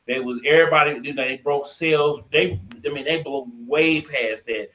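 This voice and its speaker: An American man co-hosting a casual podcast debate, talking in slang, often halting and repeating himself. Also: a little high, pushed and bright, reverberant, rough recording. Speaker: podcast co-host.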